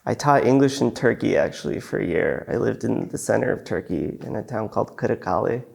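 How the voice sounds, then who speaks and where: Gravelly young man, relaxed. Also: lowish voice, fairly quick. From San Diego, California. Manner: relaxed, thoughtful, many ums. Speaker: guest, PhD student. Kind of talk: casual podcast chat.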